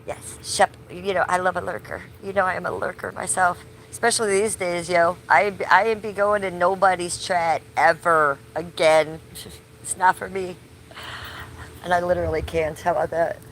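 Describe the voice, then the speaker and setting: A woman hosts a loose, chatty livestream from her tree-covered backyard, a bit sick and losing her voice. Her voice sits on the lower side. Faint outdoor noise and passing cars can be heard behind her. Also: fairly even intonation, moderate pace, low-quality recording. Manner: chatty, loose, swears casually, talks to chat viewers by name. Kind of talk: casual livestream chat outdoors. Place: backyard under trees, outdoors.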